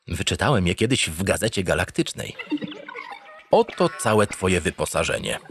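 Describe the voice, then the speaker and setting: A man's voice: medium pitch, a little hiss or hum. A man narrating a comic first-person audio drama in a theatrical, mock-pompous manner. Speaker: narrator in character as a starship captain. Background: music.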